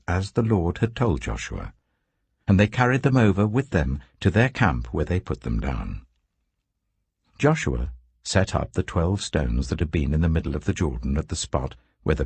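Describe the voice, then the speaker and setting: A man reading scripture as a scripted narration, in a solemn, measured storytelling manner with deliberate phrasing. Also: rumbling low, darkish tone, slightly rough, no echo. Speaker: Bible narrator.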